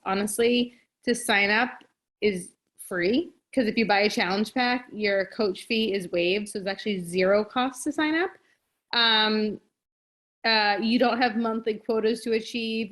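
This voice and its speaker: Woman reading slides with ums. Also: medium pitch. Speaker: fitness coach.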